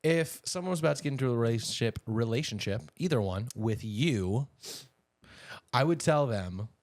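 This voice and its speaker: A man chats casually as a podcast co-host, teasing and playful. His mid-pitched voice rises and dips in a very animated way, and it is recorded dry, with no room sound. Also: normal speed, no room sound. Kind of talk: casual banter.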